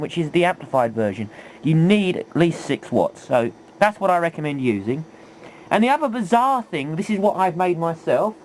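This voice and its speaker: A man talks through a hands-on demonstration in a chatty, informal way. His voice sits fairly high and swings animatedly in pitch, and he keeps putting rhetorical questions to the viewer. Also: darkish tone, low-quality recording. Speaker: video presenter, hobbyist demonstrator. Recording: homemade video with faint background noise.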